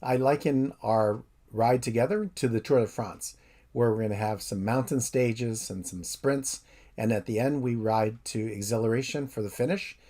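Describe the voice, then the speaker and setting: A man's voice: mid-range voice, moderate pace. A professor in his fifties giving a methodical, recorded introduction to his course. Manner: steady, methodical explainer.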